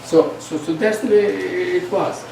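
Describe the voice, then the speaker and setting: An older man from India with an Indian accent, whose first language is Hindi, telling stories as a yoga teacher in halting, repeated phrases. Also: high-pitched. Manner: hesitant, repeats words, short phrases. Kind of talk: reminiscing in a recorded conversation. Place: bookstore event room. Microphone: distant microphone. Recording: roomy echo, distant mic, steady background hiss.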